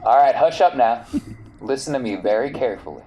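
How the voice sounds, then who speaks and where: A man's voice: medium pitch, expressive, a little fast, dull audio, a little hiss or hum, lightly acted. A man voicing a folksy, exasperated crime lord in a drawling, commanding way for a tabletop game.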